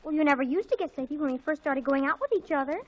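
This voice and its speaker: A woman's voice: high-pitched, very fast, highs cut off, close to an everyday voice. A woman playing a boy-crazy, easily offended teenage girl in a dramatic, indignant 1940s radio comedy style. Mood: hurt, indignant.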